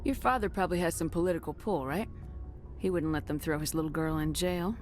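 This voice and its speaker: An actress playing a steady, decisive military commander in a video game, direct and confident. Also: female voice, sits low, fairly quick, faint music behind, close to an everyday voice. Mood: resolute. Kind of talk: video game cutscene acting.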